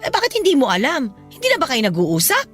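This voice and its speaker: A woman's voice: high-pitched, wildly swooping pitch, quick pace. Swooping, prying Filipino mother. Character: prying, matchmaking middle-aged mother, easily wounded. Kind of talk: radio drama acting. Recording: music behind the voice.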